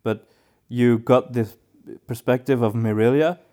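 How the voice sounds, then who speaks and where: A man's voice: mid-range voice, fairly even intonation, a little slow, warm tone. A man co-hosting a podcast, chatting casually in a thoughtful way with hesitant phrasing. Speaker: podcast co-host. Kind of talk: casual discussion.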